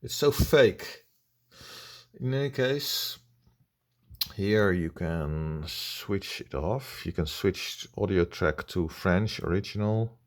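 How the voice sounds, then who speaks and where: A man with a Dutch accent giving unscripted critical commentary in a halting, blunt, complaining way. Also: deep voice, expressive. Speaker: video reviewer.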